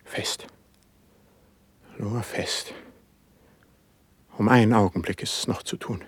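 An actor playing a sensitive, driven, melancholy young poet in a classical verse radio drama, with fervent, declaimed diction and a husky voice. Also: male voice, lowish voice, fairly even intonation, slow, no echo, whispered, exaggerated voice. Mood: bitter, agitated.

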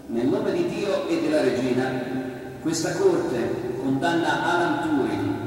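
Ringing through a large, very echoey hall from some distance, a man plays a stern court official in a radio drama. The voice is fairly high for a male, solemn and measured, with ceremonious courtroom phrasing. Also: a little mellow, lightly acted. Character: formal, stern judge and court official delivering judgment.

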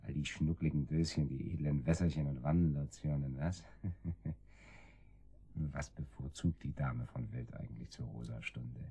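A man's voice: a bass voice, normal speed, vocal fry, mellow, husky, heavily acted. An actor playing a flippant gangster henchman in a German radio drama, sarcastic and relishing his words. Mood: mocking. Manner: sarcastic, drawling relish, tags questions with "was". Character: mocking, flippant gangster henchman with dandyish tastes.

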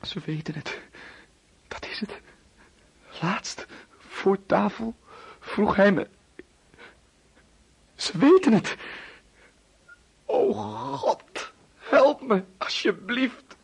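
Halting, slow-spoken, frightened young captive. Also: female voice, medium pitch, expressive, creaky, breathy edge, full character voice. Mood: panicked, pleading. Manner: halting, pleading, anxious. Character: frightened, cornered young person desperate to escape. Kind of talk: radio drama acting.

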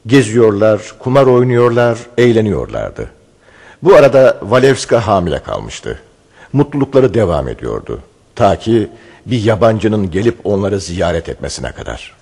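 A man narrating a radio theatre play in a measured, scripted storytelling style. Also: fairly low pitch, big pitch swings, creaky, darkish tone, husky.

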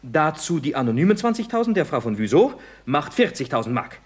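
Young male playwright, radio comedy, swooping intonation, slightly husky, ironic, mock-courteous. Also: on the high side, big pitch swings, a little fast. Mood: brisk, theatrical. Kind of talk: German radio comedy acting.

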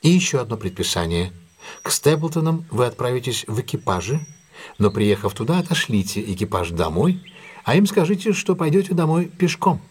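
Husky, commanding detective, swooping delivery. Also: male voice, mid-range voice, very animated, a little mellow. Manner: brisk, imperious, theatrical. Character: commanding, shrewd, self-assured male detective plotting a trap. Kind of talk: audio drama acting.

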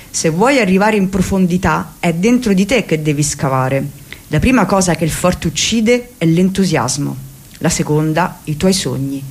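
Impassioned woman reading aloud. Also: fairly low pitch. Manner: impassioned, declamatory. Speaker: reader. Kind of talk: theatrical reading of a book on radio. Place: radio studio.

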